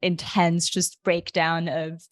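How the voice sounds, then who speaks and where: A young woman from Austria telling her own story as a podcast guest, candid and animated, often quoting her own past thoughts. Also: fairly low pitch, slow pace, no room sound. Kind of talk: casual storytelling.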